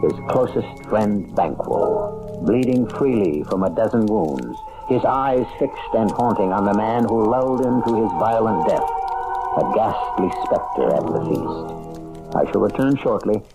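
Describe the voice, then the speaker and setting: Low-voiced grave male radio narrator. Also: over loud music, rough recording. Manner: measured, grave, literary. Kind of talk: dramatic scripted narration, classic radio.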